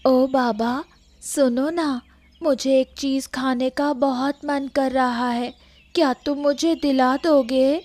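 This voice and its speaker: A woman's voice: high voice, fairly even intonation, takes it slowly, a little mellow. A woman voicing a persistent young village daughter in a cartoon story, coaxing and plaintive. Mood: coaxing, pleading. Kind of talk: Hindi moral-story cartoon dubbing.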